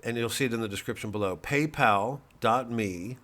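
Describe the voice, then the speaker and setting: A man's voice: medium pitch, moderate pace, a bit bright. A man with an American accent talking casually and wryly to viewers, rambling and understated, as a comedian plugging a show. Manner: understated, wry, digressive. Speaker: comedian promoting a live show.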